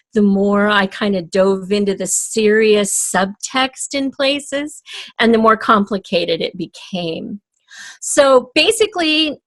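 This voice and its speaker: An older woman answers interview questions in a conversational way. Her voice sits somewhat high and she takes her words very slowly, speaking as a writer about her craft. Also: fairly bright tone. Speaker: audio drama writer, interview guest.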